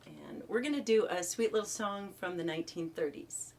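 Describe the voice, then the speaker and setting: A woman's voice: lowish voice, expressive, moderate pace, vocal fry, a little mellow. Woman casually introducing music, off-mic. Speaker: amateur musician at church service. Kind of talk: casual spoken song introduction. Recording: distant from the microphone.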